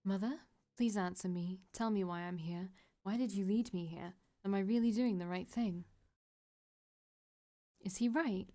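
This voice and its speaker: A woman's voice: mid-range voice, measured pace, long pauses, dark tone, natural voice. A woman voicing a desperate, broken young woman who pleads through tears. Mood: pleading. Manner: pleading, tearful.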